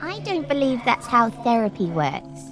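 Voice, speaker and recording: A mysterious young woman who teases gently and speaks with a lightly formal, knowing air. Her voice sits a little high. A soft musical score hums beneath her lines. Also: wildly swooping pitch, normal speed, soft background music, heavily acted. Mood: playful. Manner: teasing, sly, lightly formal. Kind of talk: audio drama acting.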